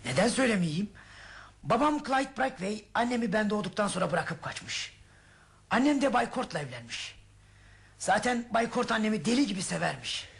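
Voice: mid-range voice, big pitch swings, normal speed, gravelly, fairly bright tone. An actor playing a cool, resigned young male schemer in a Turkish radio play, confessing candidly and matter-of-factly. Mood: resigned candour. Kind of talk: Turkish radio theatre acting.

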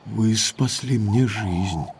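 An actor playing a young baronet in an audio drama, shaken and weak after an attack. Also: male voice, lowish voice, slow, a little hiss or hum.

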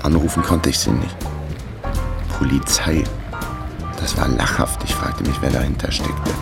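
A man's voice: a bass voice, steady tone, measured pace, gravelly, over loud music, exaggerated voice. A man narrating a radio crime drama as a laconic amateur sleuth, in a terse, matter-of-fact way. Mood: laconic, tense. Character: laconic male amateur sleuth telling his own case.

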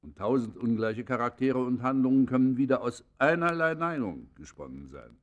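An older actor playing a venerable, humane old judge in a classic radio play, speaking in a grave, formal, philosophizing manner. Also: male voice, mid-range voice, animated, moderate pace, dull audio, lightly acted. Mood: reflective. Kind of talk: classic German radio play acting, literary and declaimed.

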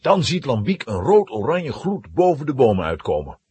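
A man giving dramatic, expressive scripted narration of a children's story. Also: mid-range voice, normal speed, breathy edge, no room sound.

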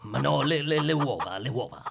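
An actor playing a bewildered, pleading man held captive, with a husky voice and stammering, flustered protests in a radio play. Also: medium pitch, expressive, normal speed, background noise. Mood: exasperated. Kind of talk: theatrical radio drama acting.

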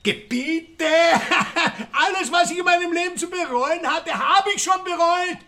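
An actor in a radio drama playing an embittered, vengeful middle-aged Austrian country murderer, full of scorn. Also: male voice, very high voice, measured pace, pushed and bright, exaggerated voice. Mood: manic, vengeful.

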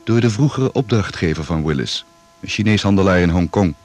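An actor playing a matter-of-fact secret agent in a Dutch radio play, terse and businesslike. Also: male voice, deep voice, expressive, brisk, darkish tone. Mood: serious, factual.

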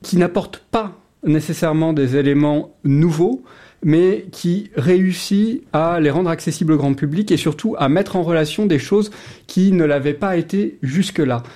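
A male journalist speaks as a guest in a radio interview, his voice fairly high and mellow. He explains things at length, stopping often with fillers to find his words. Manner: hesitant, many fillers.

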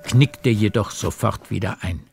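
A man reading scripted literary narration for a radio drama in a measured storytelling manner. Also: fairly low pitch, creaky, background noise.

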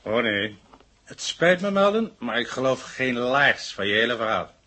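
A gruff, stubborn police inspector questions with stern disbelief. His voice is tense and raspy, in vintage 1970 radio drama style. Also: mid-range voice, very animated, normal speed, sharp tone, heavily acted. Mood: angry, warning. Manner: stern, interrogating, skeptical.

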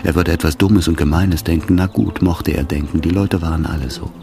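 A man narrating a literary radio drama in a measured, solemn manner. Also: low voice, fairly even intonation. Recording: orchestral music behind the voice.

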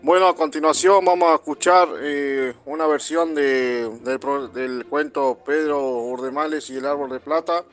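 Male community-radio presenter, higher-pitched voice, reading items over background music. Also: steady tone, normal speed, long pauses, a bit bright. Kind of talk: amateur radio presenting and read-out.

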